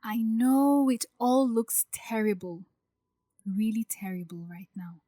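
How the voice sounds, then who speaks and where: A woman playing an anxious, hurt young bride-to-be in an audio drama, speaking softly and hesitantly as she confides her doubts. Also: a little high, slow pace, no echo, studio quality. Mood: vulnerable, reflective. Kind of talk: acted audio drama dialogue.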